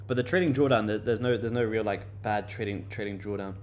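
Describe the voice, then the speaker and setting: A man's voice: fairly low pitch, fast, darkish tone. A man in his late twenties chatting loosely to viewers on a live stream, with many fillers and repeated words. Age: late 20s. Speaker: day-trading livestream host. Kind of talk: casual live-stream chat answering viewers.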